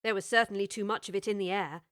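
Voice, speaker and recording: A woman narrating a storybook with clear, expressive scripted storytelling. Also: medium pitch, fast talker, no room sound, studio quality.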